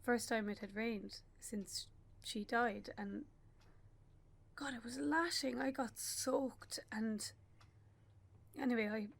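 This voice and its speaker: An actress with an Irish accent playing a grieving young mother in a radio play, speaking haltingly in a naturalistic style. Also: fairly high pitch, creaky, heavily acted. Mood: sorrowful reminiscing. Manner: halting, with pauses and repeated phrases. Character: grieving young bereaved mother, anguished and stubborn.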